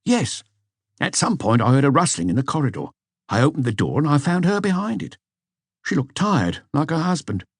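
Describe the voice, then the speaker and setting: Man voicing a hesitant police inspector, raised lilting pitch. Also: big pitch swings, moderate pace, breathy edge, no room sound, clean studio sound, heavily acted. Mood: uneasy, puzzled. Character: hesitant, deferential male police inspector giving a report. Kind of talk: audiobook character dialogue.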